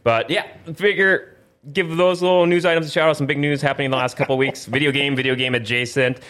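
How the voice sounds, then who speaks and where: A man's voice: on the high side, steady tone, fast, a bit bright, clean tone. Rambling man hosting a casual talk show. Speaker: podcast host. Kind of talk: casual news discussion.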